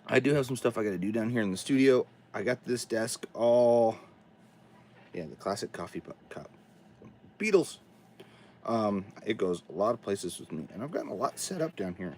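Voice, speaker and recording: Casting: hoarse man, raspy from a cold, lively up-and-down delivery, casual host rambling from a home studio. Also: lowish voice, moderate pace. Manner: rambling, chatty, talks to himself while setting up. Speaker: livestream host. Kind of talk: casual livestream chat.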